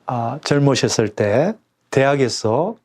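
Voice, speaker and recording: A man's voice: medium pitch, animated, slow pace, darkish tone. A middle-aged man, a pastor, speaking earnestly and with fervor in a radio interview, with a regional dialect he mentions himself.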